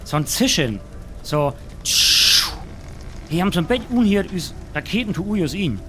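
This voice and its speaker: A man's voice: high voice, very animated, moderate pace, over music. A man playing a flustered, naive rural eyewitness who rambles through a radio play interview. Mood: muddled, eager.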